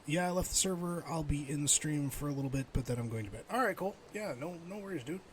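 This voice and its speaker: A man's voice: a little high, quick pace, slight background noise. A middle-aged man livestreaming a game, thinking aloud and chatting casually with viewers. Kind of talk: casual live game stream chat.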